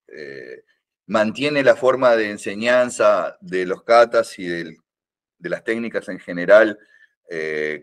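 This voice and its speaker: Older Argentine man, rambling teacher talk. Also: fairly low pitch. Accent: Rioplatense Spanish. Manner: rambling, many fillers and restarts, teasing humor. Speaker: karate teacher, podcast guest. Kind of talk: casual podcast conversation.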